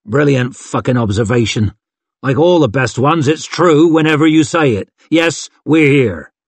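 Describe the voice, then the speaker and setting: Man playing a weary, husky band leader. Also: mid-range voice, lively intonation, a little slow, dry recording. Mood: wry, firm. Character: weary, blunt, sarcastic male war-band leader. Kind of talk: audiobook character dialogue.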